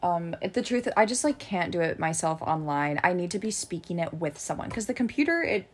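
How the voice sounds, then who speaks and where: A woman's voice: lowish voice, animated, brisk. A young American woman chatting casually as a co-host, informal and peppering her sentences with "like". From United States. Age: young adult. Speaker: podcast co-host. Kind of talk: casual chat.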